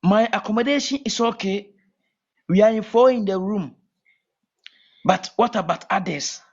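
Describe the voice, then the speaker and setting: A man from Ghana with a Ghanaian accent, talking as a guest in a casual call-in interview, halting and repeating his words. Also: sits high, a little mellow. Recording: muffled lo-fi call audio.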